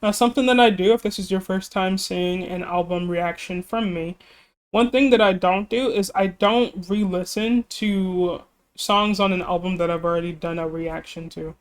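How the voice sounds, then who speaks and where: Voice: medium pitch, fairly even intonation, moderate pace, smooth. A music reaction YouTuber chatting casually and rambling, laughing often and apologizing, while slightly losing their voice. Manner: self-deprecating, apologetic. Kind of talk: casual reaction video chat.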